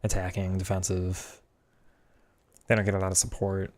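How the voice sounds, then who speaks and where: Young man, very low voice, hoarse, casual gaming commentary, thinking aloud. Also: completely flat delivery, moderate pace, a little mellow. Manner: thinking aloud, reacting to play. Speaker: gaming streamer.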